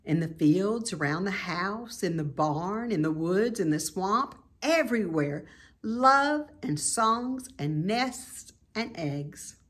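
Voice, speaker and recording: A woman reading a children's novel aloud in an expressive, deliberate way. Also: medium pitch. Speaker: storybook reader. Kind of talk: scripted narration of a children's novel.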